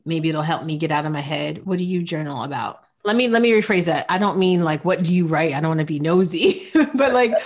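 A woman in her forties hosting a podcast interview, upbeat and encouraging, quick to praise her guests. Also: sits low, lively intonation. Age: 40s. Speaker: podcast host. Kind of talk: casual interview chat.

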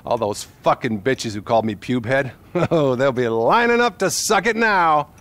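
An actor playing a smug, unhinged celebrity therapist, theatrical and full of clinical jargon, in a video game cutscene. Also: male voice, mid-range voice, lively intonation, normal speed, fairly bright tone, lightly acted. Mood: gleeful, manic. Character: smug, unhinged, self-promoting celebrity therapist with delusions of grandeur.

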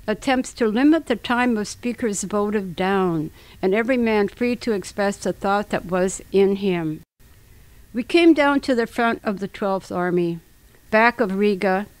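A woman's voice: mid-range voice, rough recording. A woman reading literary historical prose aloud in a dramatic style for radio. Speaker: reader.

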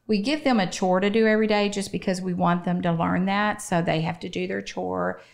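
A middle-aged woman speaks in a lowish, gravelly voice. She gives conversational answers as a podcast guest, close to a studio microphone. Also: fairly even intonation, fairly quick. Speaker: children's shelter representative, podcast guest. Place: small podcast studio, indoors. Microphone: close studio microphone on boom arm.

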